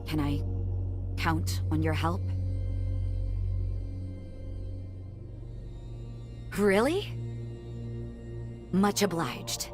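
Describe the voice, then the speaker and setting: Low-voiced resolute woman commander. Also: expressive, takes it slowly. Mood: determined. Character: resolute, protective female hunter commander, guarded older sister. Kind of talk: dramatic video game cutscene acting. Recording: loud music behind the voice. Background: loud game music.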